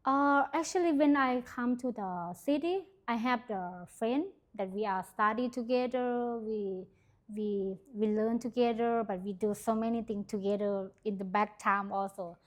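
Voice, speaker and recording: A young Thai woman from the Northeast, telling her life story in a casual interview, in halting English with a Thai accent and many fillers. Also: fairly high pitch. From Thailand, Isan region. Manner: hesitant, many fillers, simple grammar. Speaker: interview guest sharing her testimony.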